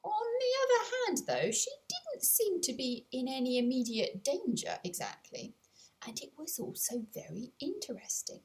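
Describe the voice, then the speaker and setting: Expressive woman storyteller with lively pitch. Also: low-quality recording. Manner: expressive storytelling with deliberate dramatic pauses. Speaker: author reading their own audiobook. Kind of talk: scripted children's story narration.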